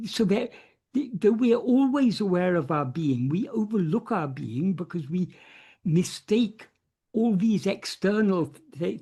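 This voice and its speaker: A man's voice: high voice, dark tone, breathy edge. A man giving an unscripted philosophical talk as a spiritual teacher in an online meeting, earnestly restarting and repeating phrases and leaning on key words. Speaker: spiritual teacher answering questions.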